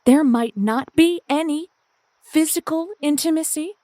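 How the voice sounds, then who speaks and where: A woman's voice: high voice, unhurried, dark tone, no echo. A woman playing a frank, sympathetic advice host on an improvised comedy call-in show. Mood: frank, counselling.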